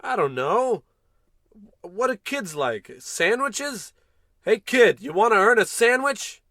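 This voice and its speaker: A man acts out a self-important American space captain from a comic radio play. He barks orders grandly and drops callous, dry asides in a fairly high male voice, recorded close and dry. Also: animated, slow pace, fairly bright tone, no room sound, heavily acted. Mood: scheming, grandiose. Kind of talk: comic old-time radio play acting.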